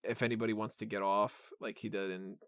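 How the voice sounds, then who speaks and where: A man's voice: on the low side, little pitch movement, fairly quick, a bit bright, no room sound. A man co-hosting a film review podcast, chatting casually and enthusiastically about details.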